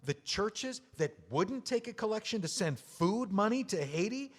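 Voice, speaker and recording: An actor playing a bitter, drunk widower who rants sarcastically in an audio play. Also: male voice, sits high, animated, normal speed. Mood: ranting. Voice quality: sarcastic, ranting. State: drunk on whiskey. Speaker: widower memoir author. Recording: long hall reverb.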